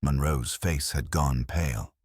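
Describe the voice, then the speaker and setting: A man narrating a detective story in a measured, deliberate, scripted dramatic delivery. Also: very deep voice, slightly rough, dry recording, studio quality. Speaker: audiobook narrator.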